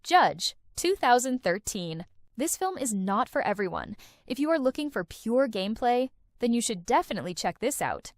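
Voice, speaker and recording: A woman's voice: a little high, sing-song, normal speed, dry recording, studio quality. A woman narrating a countdown of film and series recommendations in an enthusiastic, recommending tone. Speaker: video host. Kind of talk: scripted list-video narration.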